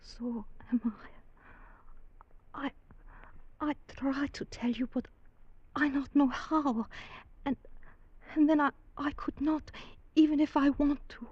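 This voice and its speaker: Tender, sorrowful young island woman: breathy, slow, halting broken English, dull vintage radio sound. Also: sits high, steady tone, no echo. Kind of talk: 1940s radio acting. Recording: dull old-time radio recording.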